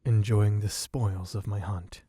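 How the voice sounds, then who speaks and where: A man voicing a suave, possessive, bloodthirsty vampire in a low, husky, teasing voice for an ASMR roleplay. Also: steady tone, warm tone, dry recording. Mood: smug, mock-tender. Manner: slow, teasing, theatrically genteel, purring endearments.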